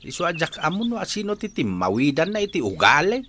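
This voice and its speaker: A man's voice: a little high, wildly swooping pitch, quick pace, a bit bright, slight background noise. An actor playing a blunt, grumbling older rural father with an Ilocano accent in a radio drama. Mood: grumbling, critical. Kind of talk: Filipino radio drama acting.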